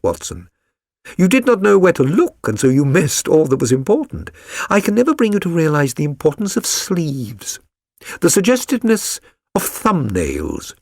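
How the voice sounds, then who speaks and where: A man's voice: fairly high pitch, a little mellow, breathy edge, no echo, clean studio sound, heavily acted. A man voicing a keen, self-assured detective for an audiobook, with a British accent and a precise, lecturing, condescending manner. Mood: brisk, lecturing. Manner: precise, didactic, theatrical. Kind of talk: dramatised audiobook reading.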